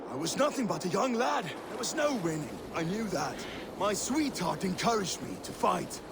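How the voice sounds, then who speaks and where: A man playing a rueful, weathered older Viking warrior telling a tale dramatically in a video game, with a gravelly voice. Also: high voice, big pitch swings, moderate pace, exaggerated voice. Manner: wistful, dramatic storytelling. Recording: loud water and boat noise.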